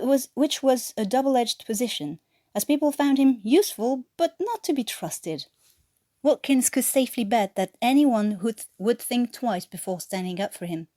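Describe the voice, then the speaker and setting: A woman's voice: mid-range voice, dark tone, no room sound, clean studio sound. A woman from France, a native French speaker with a French-tinged English accent, reading a scripted story as an amateur narrator. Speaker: amateur podfic reader.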